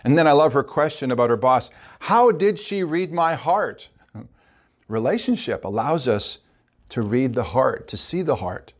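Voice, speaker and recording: A man preaching as pastor, reflectively quoting and repeating phrases during a sermon. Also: medium pitch.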